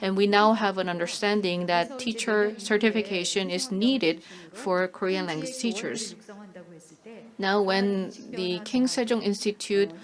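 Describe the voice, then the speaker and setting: A woman works as a live conference interpreter, delivering formal content in measured phrases with small hesitant pauses. Her voice sits at a middling pitch, and audience noise is clearly heard around it. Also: crowd noise.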